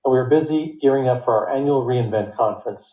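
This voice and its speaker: A man reading a scripted earnings report as a company finance executive, in a formal, measured way. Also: medium pitch. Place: conference call line. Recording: tinny phone line, roomy echo.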